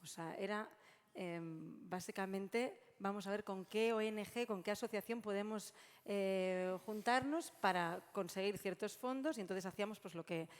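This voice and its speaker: A woman's voice: mid-range voice, moderate pace. Middle-aged Spanish woman in a reverberant hall. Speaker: panelist, sports sustainability consultant. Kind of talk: conversational panel talk. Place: large conference room. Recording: echoey hall with long reverb.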